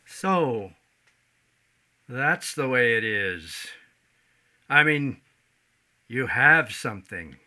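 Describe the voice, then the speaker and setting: An actor playing a grouchy, proud man who lives alone, gruff and patronizing, in a stage play. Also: medium pitch, big pitch swings, extremely slow, long pauses, bright, forceful tone, heavily acted. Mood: hesitant, subdued. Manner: gruff, blustering, patronizing, hesitant when challenged. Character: grouchy, proud, blustering solitary grown man.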